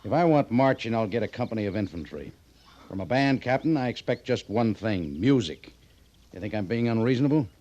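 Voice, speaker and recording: Brusque, low-voiced cavalry major. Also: male voice, expressive, fairly quick, mellow. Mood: exasperated, incredulous. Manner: brusque, sarcastic, authoritative. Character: stern, exasperated cavalry major, commanding officer. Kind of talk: 1950s radio western acting. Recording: dull old radio recording.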